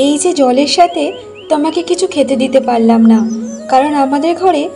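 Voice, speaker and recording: A woman's voice: high-pitched, normal speed, vocal fry, mellow, faint music behind. A woman voicing an earnest, caring village wife in a cartoon fairy tale, homely and respectful in manner. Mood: apologetic.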